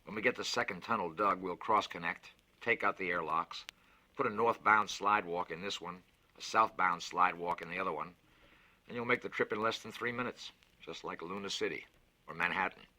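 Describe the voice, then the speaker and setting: A man reads the part of a defensive lunar engineering official in a science fiction story. He has a deep, forceful voice and argues his case persuasively, with a salesman's insistence. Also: fairly quick. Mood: salesmanlike.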